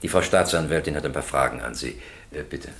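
A low-voiced, courteous presiding judge. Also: male voice, a bit bright. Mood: formal, businesslike. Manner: formal, measured, polite. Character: courteous, orderly male presiding judge running a trial. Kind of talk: courtroom radio drama acting.

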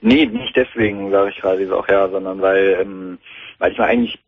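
A man's voice: low-pitched, huge pitch swings, fairly quick, creaky, no echo. A man in his twenties calling in to a radio talk show, speaking hesitantly with many fillers over a telephone line. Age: 20s. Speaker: caller. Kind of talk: phone-in talk radio call. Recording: tinny phone line.

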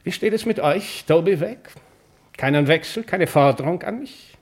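An actor playing a self-important rich gentleman, pompous, clipped and declamatory, in a 1950s German radio drama. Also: a little high, animated, normal speed. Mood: self-righteous.

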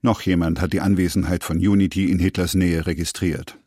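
A man narrating a scripted historical documentary drama with a husky voice. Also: low voice, fairly even intonation, brisk.

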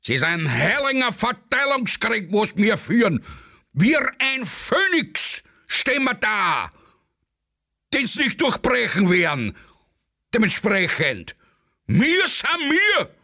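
A man's voice: high voice, wildly swooping pitch, slow, gravelly, pushed and bright, full character voice. A man playing a muddled, pompous patriot in a radio play, declaiming a rabble-rousing speech with a Viennese accent. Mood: bombastic, fervent. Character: Viennese male street orator, bombastic muddled patriot.

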